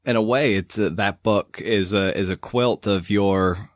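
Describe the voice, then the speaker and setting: A man's voice: on the low side, a little slow, no echo. A man hosting a podcast, speaking close to the microphone. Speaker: podcast host, interviewer.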